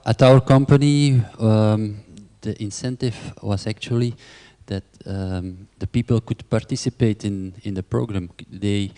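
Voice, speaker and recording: A man on a conference panel, answering haltingly with many uhs and ums. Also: lowish voice, mellow. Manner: hesitant, heavy with fillers. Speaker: panelist, project manager. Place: large conference hall. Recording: long reverb of a conference hall.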